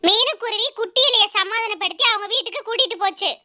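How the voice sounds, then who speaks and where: A woman's voice: very high-pitched, fast talker, pushed and bright. A woman narrating a scripted children's animal story.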